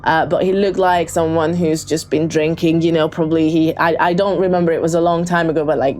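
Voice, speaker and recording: A woman's voice: deep voice, level tone, fairly quick, darkish tone, slight background noise. A woman telling a true story in a voicemail, hesitant and full of ums and restarts. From Hungary. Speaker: caller leaving a voicemail. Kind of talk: casual spoken true story.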